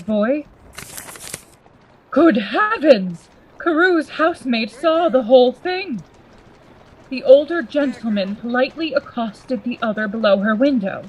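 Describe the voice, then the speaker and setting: An earnest, formal gentleman lawyer played by a woman in a gothic radio play. The voice is fairly high, with theatrical concern for a friend, on a slightly muffled recording. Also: breathy edge. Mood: alarmed. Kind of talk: gothic radio drama acting.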